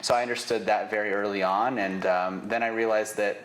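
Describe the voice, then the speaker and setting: Young guy from israel, hebrew his first language, lowish voice, lots of ums, roomy studio sound. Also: steady tone, fairly quick, fairly bright tone. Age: 20s. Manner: earnest, many fillers and restarts. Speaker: business owner, guest. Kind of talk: casual interview.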